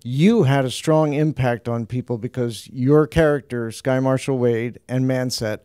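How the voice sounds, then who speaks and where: A man's voice: mid-range voice, fairly even intonation, measured pace, dark tone, clear, resonant tone, no echo, clean studio sound. A man hosting a fan interview at a convention, speaking enthusiastically and appreciatively into a handheld mic in a small room. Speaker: interviewer, show host.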